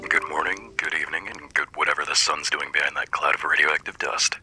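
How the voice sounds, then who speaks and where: A man's voice: extremely low pitch, fairly even intonation, fairly quick, pushed and bright, husky, faint music behind, full character voice, poor audio. A man playing a friendly, wry post-apocalyptic radio host who speaks intimately to the listener in scripted DJ patter.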